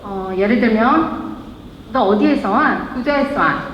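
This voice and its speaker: A woman's voice: fairly high pitch, expressive, unhurried, a little hiss or hum. Woman speaking in an echoey hall. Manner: chatty, jokes with the audience. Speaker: local brand founder on a panel. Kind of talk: live stage talk. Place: event hall. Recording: echoey hall, lo-fi live recording.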